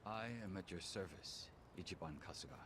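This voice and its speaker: A man's voice: low-pitched, expressive, brisk. An actor playing a capable, deferential right-hand man in a video game, polite, formal and reserved. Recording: noisy ambience behind the voice.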